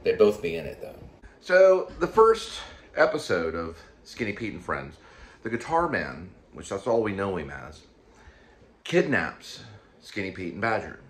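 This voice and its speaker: A man in his fifties with a very low, husky voice hosts a casual vlog. He rambles and digresses, halting and restarting his phrases as he goes. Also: very animated. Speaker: show host, actor and teleplay writer.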